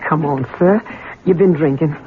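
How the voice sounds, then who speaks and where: A woman's voice: sits low, moderate pace, phone-quality sound, close to an everyday voice. An actress playing a loyal, knowing Southern housekeeper and mother in a 1970s radio drama, folksy and deferential to her master. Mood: anxious, deferential. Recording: band-limited, noisy old radio recording.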